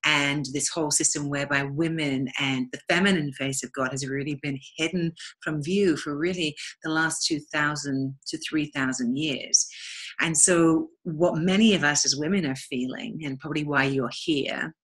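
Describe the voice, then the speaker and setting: A woman's voice: sits low, steady tone, normal speed, fairly bright tone, husky. A woman with an English accent speaking as a guest in an interview, earnest and reflective in a flowing manner. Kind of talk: spiritual interview talk.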